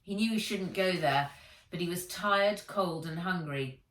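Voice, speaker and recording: A woman's voice: fairly low pitch, measured pace, a bit bright. A woman narrating a children's adventure story aloud as an author reading from a book. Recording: roomy echo, distant from the mic.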